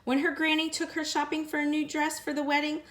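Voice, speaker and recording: Higher-voiced woman reading a picture book aloud. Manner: expressive, slows down on repeated phrases. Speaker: teacher reading to students. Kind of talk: read-aloud storytelling.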